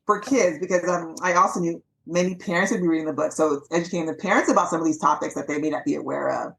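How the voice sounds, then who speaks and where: A woman's voice: sits low, gravelly, low-quality recording. A woman from Los Angeles, a physician and author, chatting upbeat in an interview. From Los Angeles, USA. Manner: quick, animated, upbeat and chatty. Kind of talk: casual interview chat.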